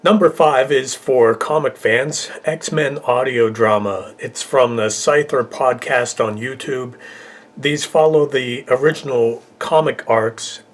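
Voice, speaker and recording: A man's voice: mid-range voice, slow pace. Male video host, mid-range voice, casual scripted countdown presenting.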